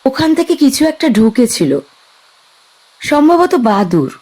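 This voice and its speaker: A woman acting a young woman in an audio horror drama, speaking in a high, urgent, tense voice. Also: sing-song, unhurried, darkish tone, heavily acted. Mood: frightened. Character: young woman on an eerie island, frightened and insistent.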